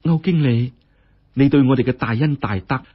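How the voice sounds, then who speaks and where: A man's voice: medium pitch, moderate pace, breathy edge, natural voice. A man voicing a humble, grateful, middle-aged poor woman in a story, earnest and deferential. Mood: humble gratitude. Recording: dull, muffled lo-fi audio.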